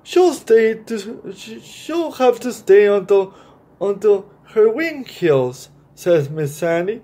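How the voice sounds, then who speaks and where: A man's voice: high voice, big pitch swings, takes it slowly, mellow. A man hosting a children's story time, reading a picture book aloud and explaining it directly to young listeners.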